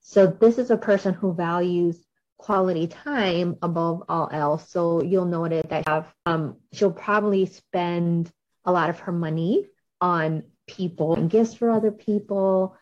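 A woman's voice: on the low side, unhurried, darkish tone. A woman hosting a podcast, chatty and bubbly, talking with plenty of fillers. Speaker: podcast host. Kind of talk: podcast interview. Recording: dull lo-fi audio.

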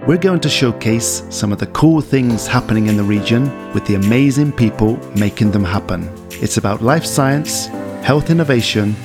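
A man's voice: medium pitch, animated, normal speed, music in the background. A man from the UK hosting a podcast, upbeat with frequent hesitations, in a studio. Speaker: podcast host.

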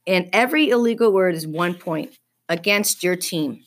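A woman's voice: on the low side, moderate pace, lightly acted. A woman reading a children's story, voicing a smug, teasing fifth-grade boy with a mocking, needling manner. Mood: smug, challenging.

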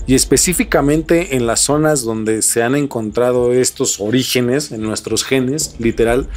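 Mid-pitched Mexican man hosting a podcast. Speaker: podcast host. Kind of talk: casual podcast chat.

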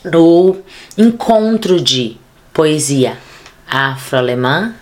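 A Brazilian woman in her thirties with a Brazilian Portuguese accent, chatting animatedly as a podcast guest. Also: low-pitched. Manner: animated, fast bursts of speech. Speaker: podcast guest, theater artist. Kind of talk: casual conversation.

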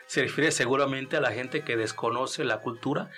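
A male host interviews in a conversational style. His voice is bright and forward at an average pitch. Some music plays behind him. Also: normal speed.

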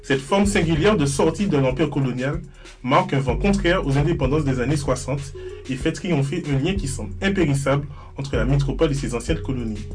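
A young man from Martinique hosts a student podcast with a measured, scripted delivery. His voice sits a little high for a man. Music plays clearly behind him. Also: expressive. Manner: measured, reads a scripted intro then asks questions. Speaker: podcast host. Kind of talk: read introduction and moderating.

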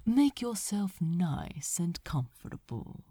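Suave male demon for audiobook played by a woman: deep, hushed, unhurried, condescending, quietly malicious. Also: very animated, dry recording, heavily acted. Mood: mock soothing. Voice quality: low, hushed voice. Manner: casual, taunting, smoothly condescending. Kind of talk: fan fiction audiobook character acting.

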